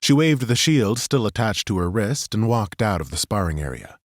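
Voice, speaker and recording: A man narrating a fantasy audiobook in a steady, even storytelling manner. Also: lowish voice, normal speed, no echo, clean studio sound.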